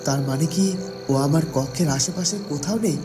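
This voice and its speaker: A man's voice: a little high, brisk, close to an everyday voice. A man as a worried young cartoon prince. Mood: worried, searching. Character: worried young prince searching, devoted husband. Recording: loud music and night crickets behind.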